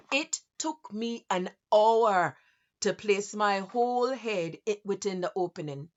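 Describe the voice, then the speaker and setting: A woman voicing a nervous, obsessive mad narrator in a Grenadian accent, in a deliberate, theatrical reading of a gothic horror story. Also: fairly low pitch, a bit bright, muffled recording. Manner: deliberate, theatrical, halting phrases. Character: nervous madman narrator insisting on sanity, obsessive and cunning.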